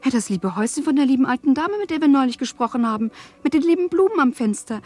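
A dreamy young woman in a radio play, high and slightly husky. She talks in a sweet, longing way, with faint music behind. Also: lively intonation, quick pace, a little mellow. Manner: sweet, longing, then indignant. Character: dreamy young woman Wally, hoping for home and marriage. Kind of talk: radio drama acting.

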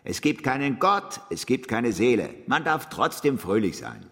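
An actor playing a combative, exuberant philosopher, impatient and scornful, speaking emphatically in a German radio drama. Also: male voice, mid-range voice, sing-song, moderate pace, fairly bright tone. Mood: rousing, defiant. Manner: emphatic, declamatory. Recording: long hall reverb.

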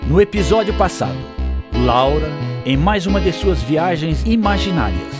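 A man with a Brazilian accent narrating a scripted radio drama as an expressive storyteller. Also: fairly high pitch, moderate pace. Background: music.

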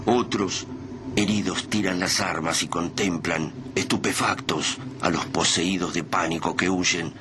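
A man with a deep, low voice narrates a dramatic radio serial. He reads scripted present-tense scenes. The recording carries noticeable background noise. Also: fairly even intonation, measured pace.

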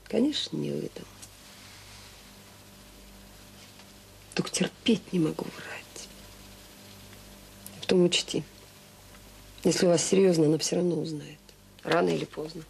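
An actress playing a caring, wry, middle-aged mother in an audio drama, talking in a colloquial, gently teasing, frank way. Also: low-pitched, normal speed, vocal fry, long pauses. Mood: frank, reluctant. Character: caring, wry, tired mother of a grown son. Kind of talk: naturalistic radio drama dialogue. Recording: slightly noisy 1980s audio drama recording.

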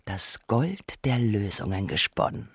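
A woman's voice: very deep voice, very animated, a little slow, slightly rough, no echo, clean studio sound. A woman reading scripted narration for a radio documentary.

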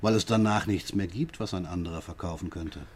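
An actor playing a sly, patronising police inspector who questions a prisoner with drawn-out, needling questions in a radio drama. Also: male voice, low-pitched, a little mellow, slight background noise, close to an everyday voice. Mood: coaxing, menacing.

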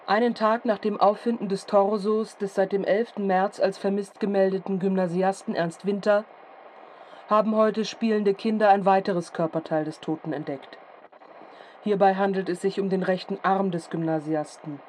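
An actress playing a formal radio news announcer in a period drama, reading a bulletin in a measured, factual manner. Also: female voice, mid-range voice, fairly even intonation, darkish tone, a little hiss or hum, natural voice, low-quality recording. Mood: formal, detached.

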